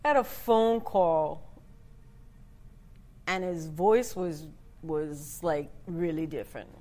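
A woman who works as a model, a guest telling a story in a candid, relaxed way. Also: low-pitched, slow pace. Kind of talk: casual interview storytelling.

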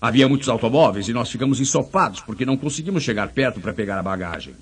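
A man's voice: medium pitch, animated, very fast, slight background noise. A man with a Brazilian accent giving an emphatic motivational speech, telling anecdotes and posing rhetorical questions. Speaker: motivational speaker.

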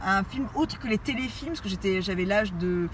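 A man's voice: high voice, normal speed, distant mic, noisy background. A man recalling an emotional film memory earnestly, recorded outdoors by a street. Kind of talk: recorded testimony.

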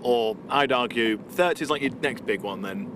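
Twenties guy rambling through a story, lots of ums, car noise behind. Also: medium pitch. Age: 20s. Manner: rambling, halting anecdotes with fillers. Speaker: podcast co-host, teacher. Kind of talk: casual chat. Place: inside a car. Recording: noisy car interior.

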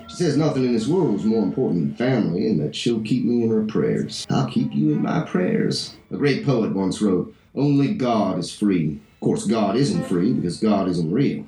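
An actor playing a bitter, nihilistic drug dealer turned prisoner, telling his story in a brooding, deliberate radio-play monologue. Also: on the low side, mellow. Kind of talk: dramatic monologue in a 1940s-style radio play. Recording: crackling old-radio fuzz, roomy echo.

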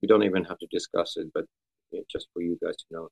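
A man's voice: low voice, fairly even intonation, fast, creaky, a little mellow, breathy edge, poor audio. A man from Austria, a guest musician chatting casually on a podcast in a joking, meandering way. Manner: chatty, joking, restarts often.

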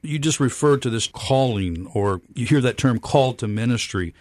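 A man's voice: medium pitch, expressive, normal speed, darkish tone, no room sound, studio quality. A man hosting a broadcast interview, introducing his guest and asking friendly questions. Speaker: radio show host, interviewer.

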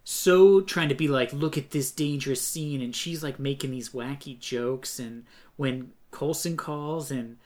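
A man's voice: mid-range voice, a little slow, hardly any pauses, a little mellow. A man co-hosting a podcast, chatting casually and animatedly, swearing and mocking as he talks. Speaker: podcast co-host. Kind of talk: casual chat.

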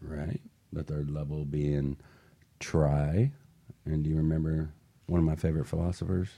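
Male radio co-host, low voice, motivational and emphatic, moves between scripted pitch and banter. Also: little pitch movement, moderate pace, vocal fry, warm tone. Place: radio studio.

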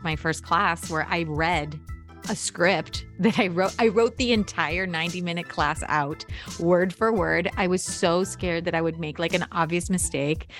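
Low-voiced woman, lively storyteller. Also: a little slow, soft background music. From United States. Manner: lively storyteller, acts out quoted dialogue. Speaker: podcast guest. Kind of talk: casual interview chat.

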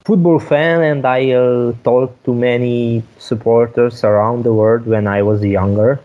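A man's voice: medium pitch, steady tone, measured pace, phone-quality sound. A man in a casual chat, hesitant and full of fillers as he searches for words. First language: Hungarian. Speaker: guest.

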